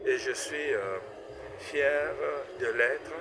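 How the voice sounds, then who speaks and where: A man's voice: mid-range voice, extremely slow. A middle-aged man from Congo, a professor and inventor, answering interview questions with many fillers and stuttered repeats. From Democratic Republic of the Congo. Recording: noisy event recording with vehicle sounds.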